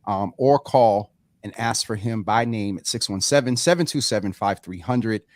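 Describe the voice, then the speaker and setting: A man's voice: lowish voice, moderate pace, no room sound, clean studio sound. A man hosting a live talk show, speaking in a familiar, casual way with many fillers, reading out viewer comments.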